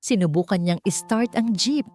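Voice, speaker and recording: A woman with a Filipino accent narrating a story in a measured, dramatic, suspenseful audiobook style. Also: mid-range voice, a little mellow.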